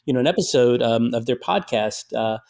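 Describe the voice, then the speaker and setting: A middle-aged man from Detroit tells stories in an interview, his pitch jumping up when something excites him. He talks with plenty of fillers and quick restarts, like a friendly storyteller. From Detroit, USA. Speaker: writer and filmmaker guest. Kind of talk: conversational interview.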